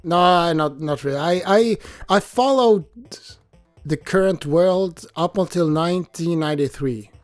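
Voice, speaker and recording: Brief: male podcast guest, thirties, easygoing and chuckly, dry sound, soft music underneath. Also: fairly high pitch, unhurried, no room sound. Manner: relaxed, chuckling, nostalgic. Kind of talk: casual podcast interview.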